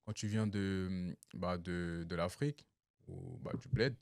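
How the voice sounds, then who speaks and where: A young man from Cameroon chatting casually among friends, telling animated stories full of slang and laughter. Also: low-pitched, slow, vocal fry, dry recording, studio quality. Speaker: guest. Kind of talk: casual chat.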